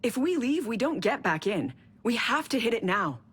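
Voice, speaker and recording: A determined engineer with a husky, breathy edge and a lively, rising and falling delivery. She speaks with urgency and insistence. This is a video game cutscene. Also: fairly high pitch, normal speed, heavily acted. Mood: urgent. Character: determined woman weapons engineer.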